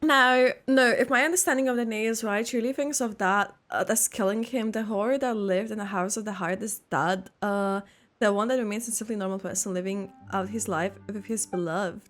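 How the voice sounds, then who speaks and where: A woman's voice: mid-range voice, a little fast, a bit bright, soft background music. A woman streaming a live reaction to a game, thinking aloud and exclaiming.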